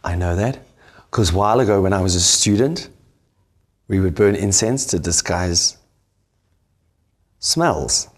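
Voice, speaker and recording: A man's voice: deep voice, expressive, unhurried, long pauses. A man hosting a cooking show, with a South African accent, asking playfully naive questions and joking with his guest. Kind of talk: comic banter on a cooking show.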